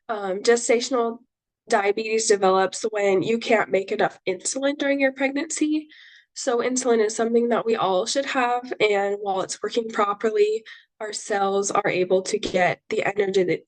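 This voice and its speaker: A woman's voice: mid-range voice, poor audio. A young woman dietitian getting over a cold, presenting a webinar in a chatty, reassuring way with many ums. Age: young adult. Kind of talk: casual educational presentation.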